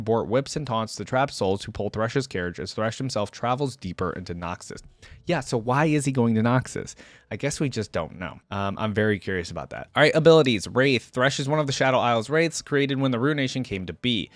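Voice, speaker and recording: A man's voice: medium pitch, dry recording. A man hosting a reaction video, reading lore aloud and adding casual commentary as he thinks aloud.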